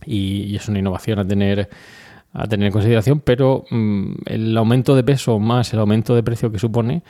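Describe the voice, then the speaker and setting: A man's voice: fairly low pitch, steady tone, fairly quick, mellow. A man with an accent from Spain, hosting a podcast and talking through news items in an informal, explanatory, conversational way. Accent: Spanish from Spain. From Murcia, Spain. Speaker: podcast host.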